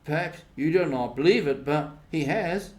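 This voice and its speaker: A man's voice: fairly high pitch, moderate pace, pitched above the performer's own voice, lightly acted. A man voicing a bold, mischievous young boy in a storybook, speaking emphatically and with assertive confidence. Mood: proud.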